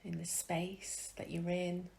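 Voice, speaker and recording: A woman leading a guided meditation in a gentle, soothing way, with soft phrases that trail into a whisper and long pauses. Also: on the low side, monotone, creaky.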